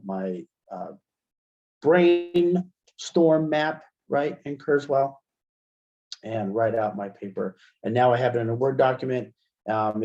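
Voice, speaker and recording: A middle-aged American man giving a casual, step-by-step software demonstration as a webinar trainer, speaking into a headset. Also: medium pitch, muffled recording. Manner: explanatory, step-by-step. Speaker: assistive technology trainer.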